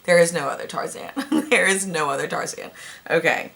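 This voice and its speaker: A young woman with a raspy voice, chatting casually and gushing about her favorite movies, thinking aloud as she goes. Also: fairly low pitch, moderate pace, fairly bright tone. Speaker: vlogger.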